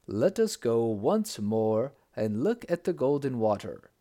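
A commanding older sultan, as a storyteller puts him on, regal and emphatic. He speaks at a slow, measured pace, with lively swings in pitch.

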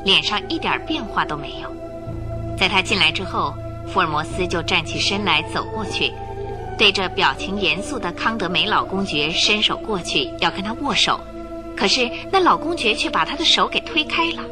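A woman narrating a radio drama with expressive storytelling. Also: medium pitch, normal speed. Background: music.

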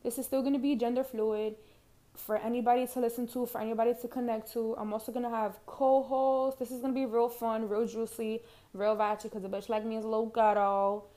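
A woman's voice: a little high, little pitch movement, a little fast, dark tone. A young woman from Harlem with a New York accent, hosting her own podcast in a brash, profane, chatty way from her couch at home. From Harlem, New York City. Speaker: podcast host. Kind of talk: casual solo podcast monologue.

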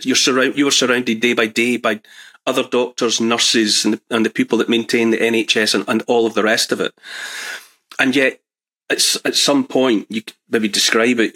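A man's voice: medium pitch, a bit bright. A man hosting an interview, asking long searching questions and rephrasing as he goes. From Scotland. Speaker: host.

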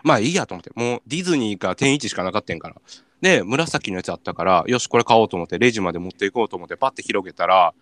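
A young man with a Kansai accent hosting a radio show, telling casual comic stories in an animated way with acted-out reactions. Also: fairly low pitch, rapid-fire, dry recording. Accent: Kansai Japanese. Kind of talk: casual comic storytelling chat.

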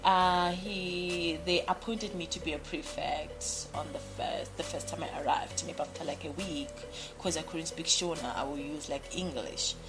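A woman's voice: sits low, unhurried, low-quality recording. A young woman from Zimbabwe, raised in South Africa, a radio personality answering casual interview questions in a bubbly, rambling way with many fillers and restarts. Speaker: radio personality, podcast guest. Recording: music playing behind.

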